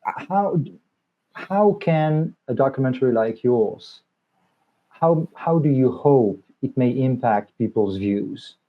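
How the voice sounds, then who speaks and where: A man's voice: medium pitch, expressive, slow pace. A man interviewing a film director at a festival, asking questions in an easy, encouraging way. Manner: conversational, encouraging. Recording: dull lo-fi sound.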